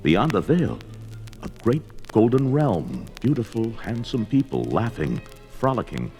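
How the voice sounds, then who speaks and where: An actor playing a fierce, brooding barbarian warrior in theatrical, declamatory 1970s audio drama style, talking aloud to himself. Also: male voice, lowish voice, big pitch swings, measured pace, warm tone, soft background music, low-quality recording. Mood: urgent, awed.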